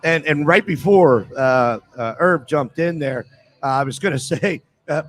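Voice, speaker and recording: Higher-pitched American man hosting sports banter. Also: a little slow. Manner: jokey, bantering. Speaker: show host. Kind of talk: casual joking sports interview. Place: live show at a casino.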